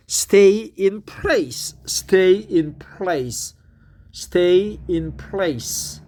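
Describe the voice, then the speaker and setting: A man with a Korean accent, a native Korean speaker, reading news aloud to practice English, stopping to comment and repeating phrases over and over. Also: on the high side. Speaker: English learner.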